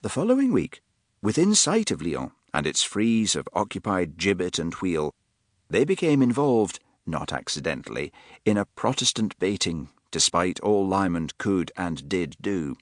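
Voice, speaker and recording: A man reading an audiobook with measured, expressive narration, recorded close on a studio mic. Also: deep voice, no room sound. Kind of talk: scripted narration.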